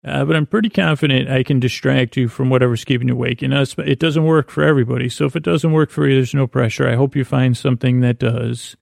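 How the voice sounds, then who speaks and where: A man hosting a podcast with a halting, meandering bedtime monologue in a creaky voice, often trailing off mid-thought. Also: mid-range voice, fairly even intonation, darkish tone, no room sound, clean studio sound. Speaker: podcast host. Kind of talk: rambling comedic bedtime monologue.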